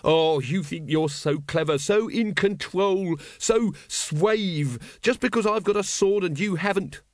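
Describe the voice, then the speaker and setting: A man acting a shrill, petulant usurper villain. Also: a little slow, no room sound. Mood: gloating, petulant. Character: ranting, squeaking, paranoid male usurper villain. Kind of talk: audiobook character acting.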